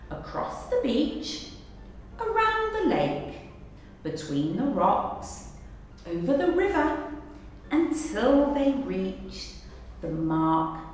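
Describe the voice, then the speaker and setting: A woman teacher reading a children's story aloud with expressive narration. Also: medium pitch. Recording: echoey hall, distant mic, background noise.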